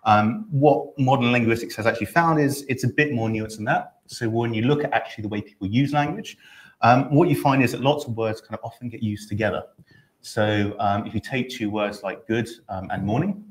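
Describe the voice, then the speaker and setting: A middle-aged man explaining language ideas in a relaxed, casual way during a live online session, with frequent ums and you knows. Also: on the low side, slight background noise, rough recording. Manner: relaxed explainer, many fillers like um and you know. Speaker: linguistics researcher.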